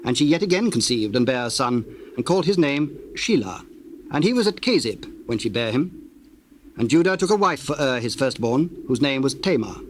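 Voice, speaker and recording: A man's voice: mid-range voice, sing-song, fairly quick. A man narrating a dramatized scripture reading in a solemn, storytelling style. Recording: hissy old cassette tape, birdsong behind.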